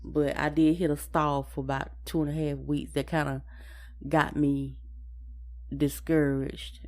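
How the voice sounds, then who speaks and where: A woman's voice: sits low, little pitch movement, a little slow, darkish tone. A woman co-hosting a podcast in a casual personal chat, hesitant and often repeating and rephrasing her words. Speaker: podcast co-host.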